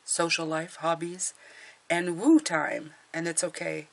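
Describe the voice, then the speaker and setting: A middle-aged woman with a hoarse voice from a cold, chatting casually through a tarot reading with fillers and restarts. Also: lowish voice, flat delivery, a little slow. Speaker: tarot reader.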